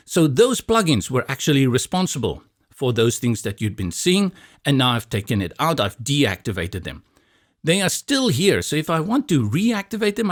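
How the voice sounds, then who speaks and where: A man from South Africa, Afrikaans his first language, with a South African accent, calmly talking through a software tutorial step by step with a bit of light humor. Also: mid-range voice. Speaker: tutorial instructor. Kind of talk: screen-recorded software tutorial.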